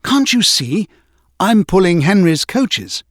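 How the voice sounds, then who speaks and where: A man's voice: sits high, very animated, slow, no echo, clean studio sound, pitched above the performer's own voice, exaggerated voice. A man voicing an eager, cheeky, impatient young male tank engine in chirpy exclamations for a storybook.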